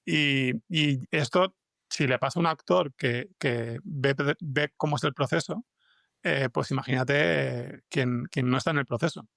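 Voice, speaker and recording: Podcast guest, male, Spain Spanish, medium pitch with gravelly fry, halting conversational delivery. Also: fairly bright tone, dry recording, clean studio sound. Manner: hesitant, rambling, stretching fillers and repeating words. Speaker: guest, fiction podcast scriptwriter and comics artist. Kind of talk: casual interview conversation.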